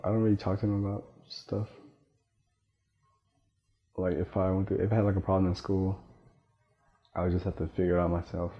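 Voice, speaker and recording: A young man speaks in a casual, rambling way, sprinkling "like" through his sentences. His voice sits very low and stays flat, with little rise or fall. The recording sounds dull and lo-fi. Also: fairly quick, long pauses. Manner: loose, heavy use of "like". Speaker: interviewee. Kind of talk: casual personal account.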